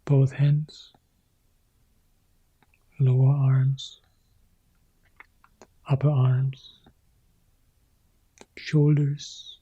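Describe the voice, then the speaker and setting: Calm guy doing guided meditation, super mellow n breathy, slow short phrases w big pauses. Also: mid-range voice, lo-fi sound. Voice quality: soft, husky, breathy. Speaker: meditation teacher guiding a practice.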